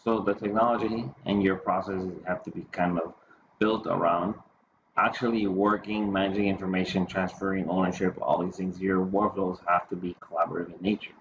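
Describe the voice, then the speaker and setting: Low-voiced man presenting over noisy audio. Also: level tone, fairly quick. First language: Spanish or English, bilingual. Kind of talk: conference presentation. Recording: dull lo-fi audio, clear background noise.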